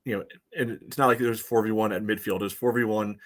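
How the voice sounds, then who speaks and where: An American man with a fairly low voice analyzes a match as a podcast co-host. The delivery is conversational and casual, like talk between sports fans. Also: steady tone, fast.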